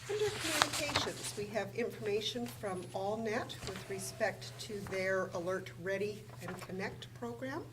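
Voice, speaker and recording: A woman administrator reading formal council resolutions and figures aloud in a measured way in a council chamber. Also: medium pitch. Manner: measured, reads figures carefully. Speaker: municipal administrator. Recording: distant mic with room noise.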